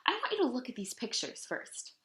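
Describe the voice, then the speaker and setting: A wise Trinidadian grandmother from a children's storybook, as a woman reader plays her. She talks in a folksy, reassuring way with animated rises and falls. The sound is bright and a little echoey. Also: sits high, a little fast, voice pushed up, full character voice. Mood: fond, proud. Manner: folksy, encouraging. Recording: roomy, echoing room sound.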